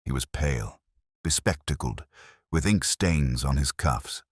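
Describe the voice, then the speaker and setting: Very deep-voiced male detective story narrator. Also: measured pace, slightly rough, no room sound, studio quality. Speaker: audiobook narrator. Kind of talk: scripted first-person detective story narration.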